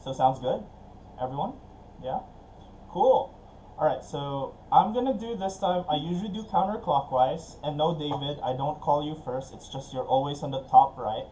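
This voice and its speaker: A man's voice: on the high side, moderate pace, warm tone, a little hiss or hum, rough recording. A man hosting an online business webinar over a video call, upbeat and chatty, with easy laughs and fillers. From Philippines. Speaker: webinar host. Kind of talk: casual online meeting hosting.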